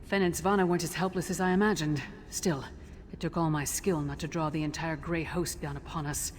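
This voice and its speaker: A battle-hardened warrior woman, played by an actress for a fantasy game, speaks in a slightly low voice. She is blunt and commanding, and her words ring with long reverb over some background noise. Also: steady tone, brisk, natural voice. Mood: resolute. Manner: brusque, commanding. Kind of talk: video game character acting.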